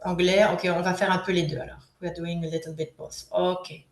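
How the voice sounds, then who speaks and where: A woman's voice: lowish voice, flat delivery, fairly quick, roomy sound, slight background noise. A French woman in her thirties teaching a live online workshop, switching between French and English, patient and encouraging with many hesitations.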